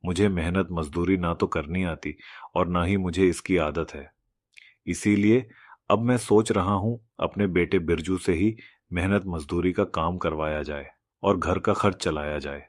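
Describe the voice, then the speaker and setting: A cruel, miserly village landowner, acted by a man for a cartoon moral tale. The voice is low and very dry, with a domineering, scolding delivery that brooks no argument. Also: a little slow, dry recording. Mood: stubborn, indignant. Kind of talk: Hindi moral cartoon story acting.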